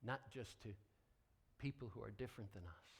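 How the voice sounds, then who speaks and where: Man preaching a deliberate, emphatic sermon. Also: mid-range voice, gravelly, breathy edge. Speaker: pastor.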